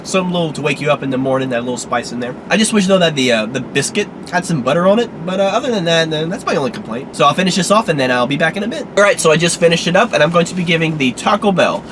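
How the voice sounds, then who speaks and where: A man hosting a casual food review vlog, talking in an easygoing, rambling way with many fillers, sounding a little congested and hoarse. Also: fairly high pitch, expressive, rapid-fire, few pauses, noisy background.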